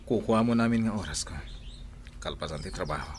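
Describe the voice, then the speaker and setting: An actor with an Ilocano accent playing a proud, evasive husband in a radio drama. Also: male voice, sits low, fast, a little hiss or hum, natural voice. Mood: defensive, dismissive. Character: proud, evasive husband who left his pregnant wife.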